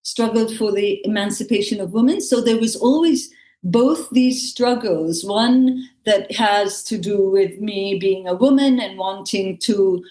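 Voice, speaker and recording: Echoey, halting woman. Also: mid-range voice, dark tone. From Lebanon. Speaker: theatre artist and actress, panel guest. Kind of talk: reflective panel conversation. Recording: echoey room sound.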